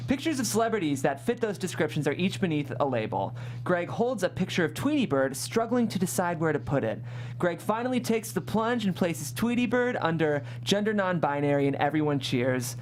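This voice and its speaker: Give the voice of a lively stage direction reader at a screenplay table read. The pitch moves around a good deal over a middle register, with a slight hum behind it. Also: a little fast. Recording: hum and background noise.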